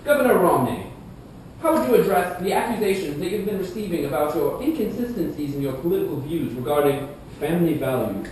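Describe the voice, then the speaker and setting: High-voiced man as a debate moderator, very echoey. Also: expressive, a little fast, a little mellow, natural voice. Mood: formal. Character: formal male debate moderator and commentator. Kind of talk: scripted student puppet show debate. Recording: echoey room, distant mic, rumbling noise.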